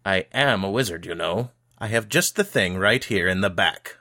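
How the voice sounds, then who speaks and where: Grumpy old shopkeeper wizard, voiced by a male narrator, irritable and dismissive, sharp edge to the tone. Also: sits low, expressive, moderate pace, full character voice. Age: older. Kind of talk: audiobook character voice.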